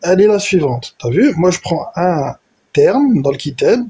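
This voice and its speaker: A man's voice: on the high side, very animated, moderate pace, mellow, a little hiss or hum. A man in a live online debate, explaining his reading of scripture step by step and often repeating himself. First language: not Arabic. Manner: explanatory, repeats himself, addresses listener directly. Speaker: debate participant, Quranist.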